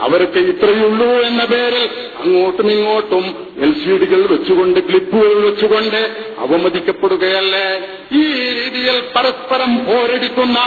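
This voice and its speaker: A man's voice: high voice, normal speed, slight background noise. A man with a Kerala Malayali accent giving an Islamic discourse, chanting verse and explaining it with emphatic, repeated rhetorical questions. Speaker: Islamic preacher. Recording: echoey, phone-like, distant, with music behind.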